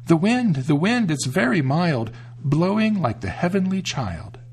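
A man's voice: fairly high pitch, very animated, a little slow, a little mellow, breathy edge, voice pushed up, exaggerated voice. A man doing frightened little children. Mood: sing-song. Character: frightened little boy and girl.

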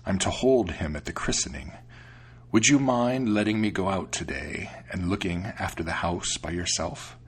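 A man voicing a sly, greedy male storybook cat who talks slowly and dryly as he deceives. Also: deep voice, breathy edge. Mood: wheedling. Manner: slow, dry, drawn-out delivery. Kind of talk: storybook character voice.

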